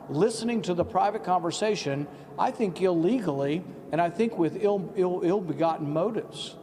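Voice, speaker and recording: A man's voice: on the high side, a little mellow, big-room sound. An American man giving emphatic, accusatory political commentary.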